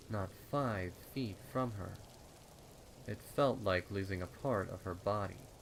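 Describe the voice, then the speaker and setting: A man with a fairly low voice narrates a horror tale. He takes it very slowly, with ominous, dramatic phrasing. Rain is clearly heard behind the reading. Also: a little hiss or hum, rough recording. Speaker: fan fiction narrator. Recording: rain and storm ambience behind.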